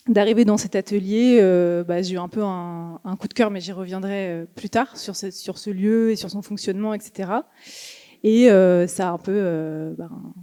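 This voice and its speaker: A young woman from Lyon, an artist speaking on a panel in a hesitant, halting way. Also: on the low side, warm tone, smooth. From Lyon, France. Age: young adult. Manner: hesitant, many fillers and restarts. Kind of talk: panel talk. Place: museum auditorium.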